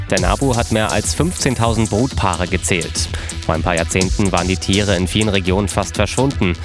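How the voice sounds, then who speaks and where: A man reading a radio news bulletin in a steady, even way. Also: fairly low pitch. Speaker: news presenter. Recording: music bed underneath.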